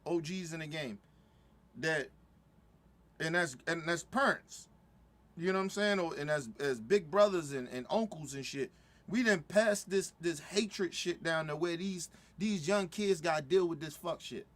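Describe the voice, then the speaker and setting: Middle-aged man, fired-up reaction host, high-pitched voice, stumbling restarts. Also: unhurried, a bit bright. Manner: heated, repetitive, stumbling restarts. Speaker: commentator reacting to a video. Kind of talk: profane casual reaction commentary.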